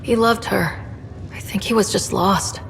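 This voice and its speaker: A woman's voice: medium pitch, fairly even intonation, unhurried, creaky. A woman playing an earnest, caring young explorer in a sci-fi video game, talking in a subdued, conversational way. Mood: gentle. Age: young adult. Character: earnest, caring young female space explorer, twin sister. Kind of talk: naturalistic video game dialogue acting. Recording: reverberant room, faint ambient noise.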